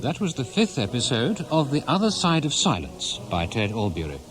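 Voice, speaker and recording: A man's voice: medium pitch, wildly swooping pitch, moderate pace. Formal male radio announcer over music. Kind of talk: scripted radio drama credits and introduction.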